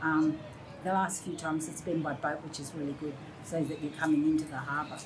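An Australian woman in her sixties tells stories with lively, mimicking energy. Her voice sits a little low. A soft hiss runs underneath the recording. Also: brisk. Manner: animated storyteller, acts out quoted voices. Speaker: interview guest. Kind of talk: casual reminiscing conversation. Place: indoor room by large windows.

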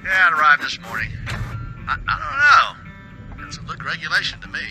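Radio station boss, game character, sarcastic, condescending, rambling, a bit high-pitched. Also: male voice, wildly swooping pitch, normal speed, gravelly, music in the background, full character voice, rough recording. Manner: sarcastic, belittling, rambling instructions. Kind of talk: video game voice acting.

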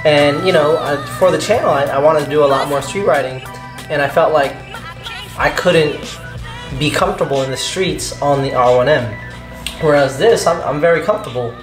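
A man's voice: fairly high pitch, normal speed, echoey room, over music. A man in his thirties vlogging casually about motorcycles, loose and chatty with many fillers and restarts, recorded in his home garage on a camera mic. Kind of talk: casual motovlog talk.

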